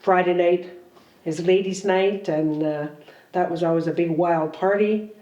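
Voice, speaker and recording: A woman's voice: lowish voice, steady tone, measured pace, warm tone, dull audio. A woman in her sixties from Ontario, Canada, recalling childhood and town life in an easygoing way. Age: 60s. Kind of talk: documentary interview. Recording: roomy sound from a distant mic.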